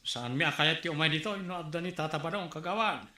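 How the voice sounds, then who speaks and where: A high-voiced joking driver. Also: male voice, fast talker, sharp tone, reverberant, slight background noise. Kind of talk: radio drama acting.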